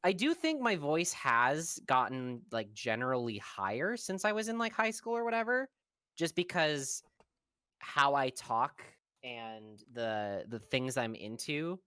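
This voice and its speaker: A young adult guest in a casual podcast chat, talking in a relaxed, rambling way with lots of fillers. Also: medium pitch, unhurried, smooth, dry recording, studio quality.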